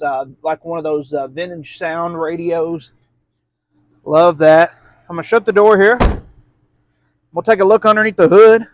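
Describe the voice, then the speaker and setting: A man's voice: high voice, no room sound. A man giving an enthusiastic, folksy, casual sales walkaround of a classic car as its dealer. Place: outdoors beside a car, then inside it driving. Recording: noisy outdoor and in-car vehicle noise.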